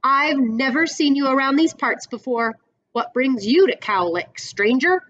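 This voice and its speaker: Woman as a genial barber, lively pitch. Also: unhurried, highs cut off. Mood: questioning. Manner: genial, teasing, courteous. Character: good-natured, confident male Wild West barber.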